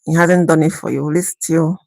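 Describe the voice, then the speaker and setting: A woman's voice: sits low, steady tone, moderate pace, creaky, darkish tone, no echo. A woman hosting a phone livestream and chatting casually and earnestly, repeating herself between worship songs. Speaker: livestream host.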